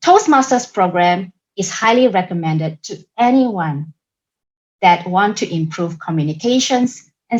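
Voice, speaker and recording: A woman with a Singaporean English accent delivering a prepared club speech over a video call, in a deliberate, emphatic manner. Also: mid-range voice, darkish tone, muffled recording. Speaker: club member giving a speech.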